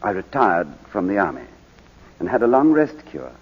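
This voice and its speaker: An actor playing a middle-aged British baronet and war veteran on the witness stand, hesitant and clipped, in 1940s radio drama style. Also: male voice, low voice, sing-song, moderate pace, husky, full character voice. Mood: hesitant, reserved. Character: proud English baronet, war-wounded veteran testifying in court. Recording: muffled vintage 1940s radio broadcast.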